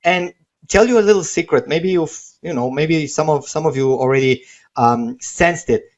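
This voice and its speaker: A man who is not a native English speaker, hosting a live sales webinar with a persuasive, off-the-cuff pitch and frequent checking tags. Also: normal speed. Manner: persuasive, repeats checking tags like okay and right. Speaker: webinar host pitching software. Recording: dull, lo-fi webinar audio.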